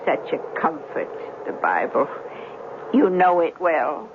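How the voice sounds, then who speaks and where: A woman's voice: deep voice, sing-song, slow pace, muffled recording, exaggerated voice. An actress playing a kindly, trusting old lady in a 1970s radio drama, fluttery, hesitant and earnest. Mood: fluttery, gentle. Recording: thin, noisy old radio broadcast.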